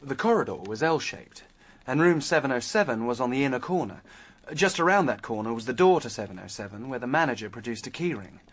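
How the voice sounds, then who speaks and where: A man's voice: medium pitch, lively intonation, brisk, lightly acted. An actor playing a penniless, determined young Australian man who ruefully recounts his adventure in a radio drama. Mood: uneasy. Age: young adult. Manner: vivid storytelling, stammers when flustered. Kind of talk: radio drama acting and first-person narration.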